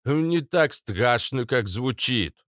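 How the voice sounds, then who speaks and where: Мужчина-чтец озвучивает старого лекаря, ворчливого всезнайку со скрипучим голосом, который всё растолковывает. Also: male voice, medium pitch, animated, takes it slowly, fairly bright tone, dry recording, studio quality, voice pushed up. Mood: grave. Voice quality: creaky, scratchy. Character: old male healer, grumbling know-it-all explainer.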